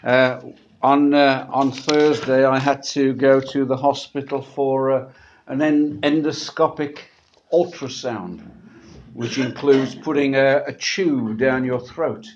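Slightly husky male preacher, dull recording. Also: mid-range voice, steady tone, slight background noise. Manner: halting, conversational, often repeating words. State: sore throat after a medical procedure. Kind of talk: church sermon with scripture reading. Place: church, speaking to a congregation. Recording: dull, muffled church recording.

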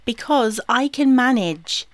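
A woman plays a self-doubting wife and new mother in a homely audio drama. Her voice is on the high side. She speaks hesitantly, full of doubts and questions. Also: animated, slow, no room sound. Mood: doubtful. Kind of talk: naturalistic domestic audio drama acting.

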